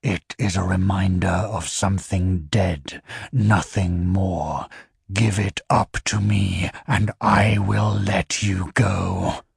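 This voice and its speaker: A man voicing a cold, seductive inhuman creature in a spoken story, low and hushed, at times whispering. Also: fairly even intonation, slow, vocal fry, husky, no room sound, clean studio sound, heavily acted. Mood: soothing. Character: sinister seductive infernal creature, calm and cold.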